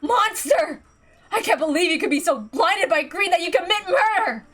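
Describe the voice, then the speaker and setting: A woman performs a shrill, high-voiced married woman from a visual novel, horrified and full of accusation. Her delivery is impassioned and distraught, and music plays behind her. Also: lively intonation, normal speed, fairly bright tone. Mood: anguished, accusing. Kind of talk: dramatic visual novel character reading.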